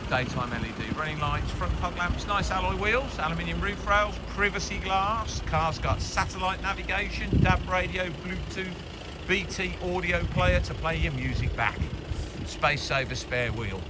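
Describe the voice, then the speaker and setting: A man's voice: high voice, normal speed. A man presenting a used car in an informal walk-around sales commentary, listing features in short clipped phrases. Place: outdoors at a car lot, moving around a vehicle. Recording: noisy outdoor recording with vehicle ambience.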